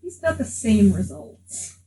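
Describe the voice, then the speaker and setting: A woman's voice: mid-range voice, very animated, slow, mellow. A woman doing casual in-character role-play as a player in a tabletop game. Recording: echoey, distant mic with faint noise.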